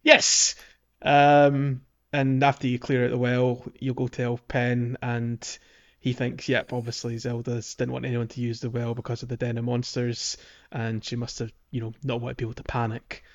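A man chatting on a gaming podcast in a loose, rambling way, often stopping to restart his thoughts. His pitch sits mid-range for a man, and the recording is slightly muffled and lo-fi. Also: completely flat delivery, moderate pace. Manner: meandering, many fillers and restarts, laughs often. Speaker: podcast host. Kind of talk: casual gaming podcast chat.

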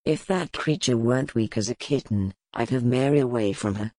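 A woman voicing a suspicious, gruff nobleman who speaks bluntly and scornfully. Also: extremely low pitch, moderate pace, darkish tone, no echo, pitched below the performer's own voice.

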